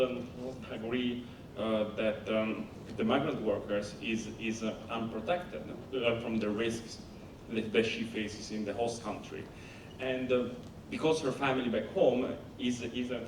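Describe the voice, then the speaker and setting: An Italian-accented man answers on a conference panel, stopping and restarting often with plenty of fillers. His voice sits at a middling pitch and is picked up from a distance in an echoey hall. A steady low rumble runs underneath. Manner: halting, many fillers and restarts. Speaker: panelist, company founder.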